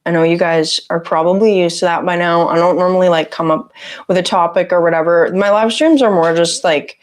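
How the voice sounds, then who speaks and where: A woman with a raspy voice, sick with a cough, chatting casually on a livestream from her home office on a lapel mic and greeting viewers by name. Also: on the low side, fairly even intonation, brisk. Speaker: livestream host. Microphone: lapel mic on her shirt.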